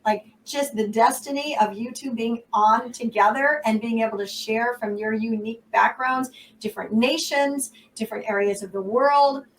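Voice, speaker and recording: A woman's voice: on the high side, moderate pace, hardly any pauses, far from the mic, poor audio. An American woman hosting an online talk show, effusive and enthusiastic. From United States. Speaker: show host.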